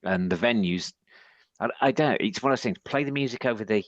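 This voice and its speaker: Hoarse, deep-voiced man with a husky rasp; meandering podcast guest on a dry, muffled line. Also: fairly quick, no room sound. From northern England. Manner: rambling, many restarts. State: hoarse from shouting. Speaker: podcast guest, agency head. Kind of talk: casual panel chat.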